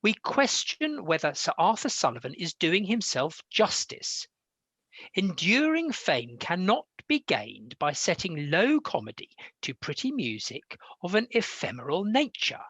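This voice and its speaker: A man's voice: high-pitched, wildly swooping pitch, unhurried, a bit bright, husky, dry recording, exaggerated voice. A British man acting an exasperated, serious Victorian composer in a radio play, speaking earnestly and formally. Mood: frustrated, earnest. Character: weary, exasperated male Victorian composer, serious and self-pitying.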